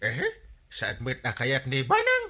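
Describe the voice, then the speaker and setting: A fretful Ilocano husband in a radio comedy, forever grumbling about debts. His voice is bright and sharp and leaps about in exasperated cries. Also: mid-range voice, huge pitch swings, unhurried, full character voice. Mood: dismayed. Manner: exclaiming, exasperated.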